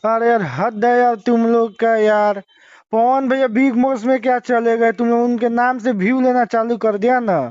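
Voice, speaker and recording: High-pitched exasperated man on a phone call. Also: level tone, normal speed, a little mellow, clear, resonant tone, dry recording. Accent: Bhojpuri-influenced Hindi, eastern India. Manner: exasperated, argumentative. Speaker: celebrity's manager taking a phone call. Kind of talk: heated phone argument. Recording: dull lo-fi phone call recording.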